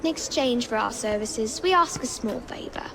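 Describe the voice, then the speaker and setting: A woman playing a shrewd, self-possessed young English girl who leads urchins in a video game, crisp and businesslike. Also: fairly high pitch, lively intonation, normal speed, noisy background. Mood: poised, earnest. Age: child character. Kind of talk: video game cutscene acting.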